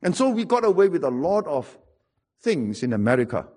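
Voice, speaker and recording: A man's voice: fairly high pitch, big pitch swings, a little fast, a little mellow. A middle-aged man with a Singaporean English accent, preaching a sermon and telling stories with wry humor and emphatic repetition.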